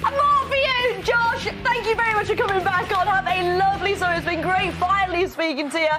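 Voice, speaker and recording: A bubbly woman presents children's TV in a bright studio, her voice very high. Loud music plays behind her as she teases her co-hosts. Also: fairly quick, over a noisy crowd. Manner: bubbly, teasing.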